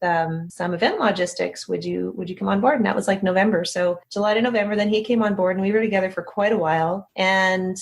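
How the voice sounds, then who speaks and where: A woman's voice: mid-range voice, fairly even intonation, fast talker, creaky, hardly any pauses. A woman being interviewed, talking casually in anecdotes with a candid, informal and self-deprecating manner. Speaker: interview guest, speaker agency founder.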